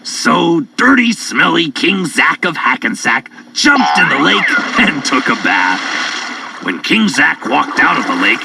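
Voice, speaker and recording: A man narrating children's stories in a playful, theatrical, drawn-out way. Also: a little high, sing-song, gravelly, pushed and bright, husky, rough recording. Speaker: storyteller. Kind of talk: animated children's story narration. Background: music.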